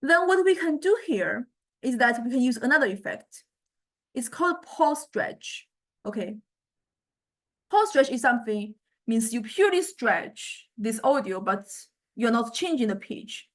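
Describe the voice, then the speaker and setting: Woman teacher walking thru a software demo, kinda high voice, stop-start and repeats words while she looks for the right one. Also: expressive. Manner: halting, searching for words, repeating words. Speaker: lecturer. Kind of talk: explanatory software demonstration lecture.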